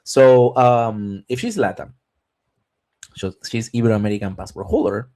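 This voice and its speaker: Man hosting a relaxed webinar. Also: lowish voice, measured pace. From Argentina. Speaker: webinar host answering viewer questions. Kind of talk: live webinar Q&A on visas and taxes.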